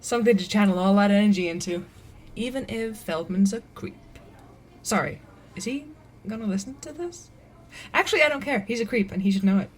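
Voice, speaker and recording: A woman's voice: mid-range voice, brisk, slightly rough, natural voice. An actress playing a blunt, skeptical young woman who talks in a wry, casual way in an audio drama.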